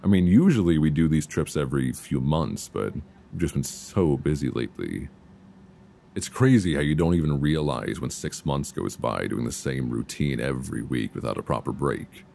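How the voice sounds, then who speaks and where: A man playing an affectionate, reassuring boyfriend in an intimate, casual ASMR roleplay, speaking softly and soothingly close to a binaural mic. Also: rumbling low, lively intonation, gravelly, exaggerated voice. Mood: affectionate, relaxed. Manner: soft, intimate, unhurried, soothing. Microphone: close binaural microphone. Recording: faint background noise, binaural.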